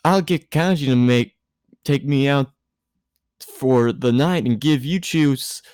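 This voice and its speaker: Man voicing a high, slurred tipsy woman. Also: slow, a little mellow, smooth, no echo, studio quality, natural voice. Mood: teasing. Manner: rambling, emphatic. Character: tipsy drowsy woman guardian, slurred, teasing, rambling.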